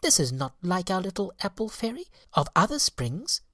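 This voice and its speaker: A little storybook bird, chirps plaintively in dismay. The voice leaps far above normal speech, swinging up and down. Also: female voice, lowish voice, big pitch swings, normal speed, fairly bright tone, no room sound, clean studio sound, voice pushed up. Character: small garden birds, chirping and dismayed.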